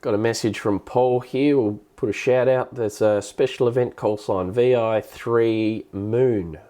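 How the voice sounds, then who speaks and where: A man with an Australian accent and a cold, explaining things casually and haltingly as the host of a hobbyist live stream. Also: mid-range voice, fairly even intonation, dark tone. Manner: halting, hesitant delivery with repeated words. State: has a cold.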